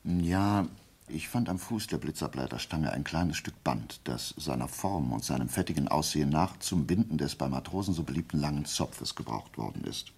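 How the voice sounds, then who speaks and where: An actor playing a shrewd, self-assured gentleman detective in a German radio play, reasoning deliberately and with sly politeness. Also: a bass voice, lively intonation, creaky, husky, heavily acted. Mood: confident, reasoning.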